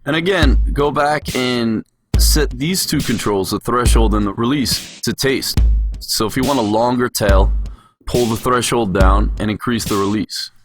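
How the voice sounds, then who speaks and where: A man narrating a software tutorial as an instructor, explaining things step by step. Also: medium pitch, measured pace. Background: music production sounds, drum hits.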